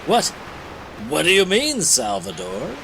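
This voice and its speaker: A man's voice: fairly high pitch, huge pitch swings, slow, full character voice. A man voicing a laid-back, questioning adventurer hero in a comic book dramatic reading. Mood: puzzled. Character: laid-back shirtless adventurer hero, puzzled then curious. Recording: clear background noise.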